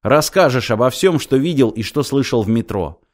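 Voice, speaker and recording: A man's voice: medium pitch, animated, moderate pace, natural voice. A man doing a curt, stern station chief. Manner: curt, commanding. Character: stern middle-aged male station chief.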